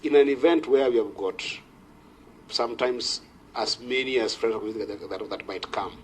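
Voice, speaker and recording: A man with a Kenyan accent speaking as a senator on procedure, formal and deferential, in a halting delivery. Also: mid-range voice, a little hiss or hum. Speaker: senator raising a procedural point. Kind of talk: formal parliamentary debate.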